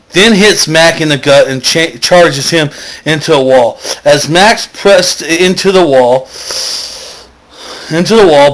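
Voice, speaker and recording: High-pitched man rambling through a story. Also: fairly bright tone, poor audio. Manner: rambling, self-correcting, digressive. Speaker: storyteller, host. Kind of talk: comic, loosely improvised story narration.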